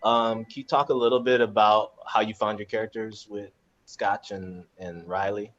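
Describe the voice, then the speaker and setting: A man's voice: fairly low pitch, fairly even intonation, normal speed, a bit bright. Man hosting, muffled video-call sound. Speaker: host and moderator of a cast chat. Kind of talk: casual live panel chat.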